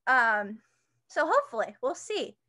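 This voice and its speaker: A young woman with a high-pitched voice, chatting as an interview guest, with many fillers and restarts. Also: big pitch swings, unhurried, sharp tone. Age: young adult. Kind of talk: casual interview chat.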